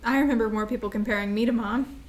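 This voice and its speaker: Naive, immature little sister in a stage drama, read by a woman, notably high voice, hesitant delivery. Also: steady tone, fast talker, natural voice. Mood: wistful, confused. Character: Pearl, immature younger sister, grown and as child. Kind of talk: staged play reading. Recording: live staged reading.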